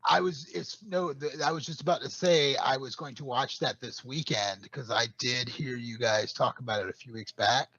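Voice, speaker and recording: A man's voice: mid-range voice, moderate pace, hardly any pauses, husky, no echo, dull audio. A man who is a guest on a podcast, telling crude stories with a laugh. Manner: crude, laughing, animated storyteller. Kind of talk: casual chat.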